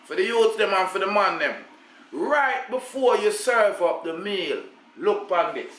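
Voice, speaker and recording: A man's voice: sits high, animated, a little slow, fairly bright tone, roomy sound, poor audio. A middle-aged Jamaican man hosting a casual home cooking vlog, talking to the camera in a chatty way with patois asides. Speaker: cooking channel host. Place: home kitchen, indoors. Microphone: camera on the counter.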